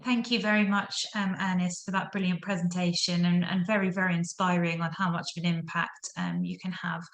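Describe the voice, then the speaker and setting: A woman with a lowish voice hosts an online volunteering event and gushes thanks and praise at each speaker. Her voice bounces around a roomy, echoey space on a video call. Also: little pitch movement, a little fast. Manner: effusive, warm thanks and praise. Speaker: event host.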